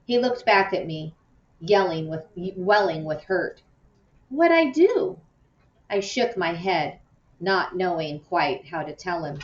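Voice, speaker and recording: A woman's voice: fairly low pitch, measured pace. A woman reading a children's novel aloud with expression, like an audiobook narrator. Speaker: audiobook reader. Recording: muffled, roomy, distant from mic.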